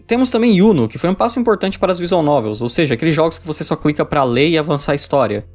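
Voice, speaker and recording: Fast-talking Brazilian man narrating over music. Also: fairly high pitch, expressive. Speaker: video essay narrator. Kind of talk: scripted narration.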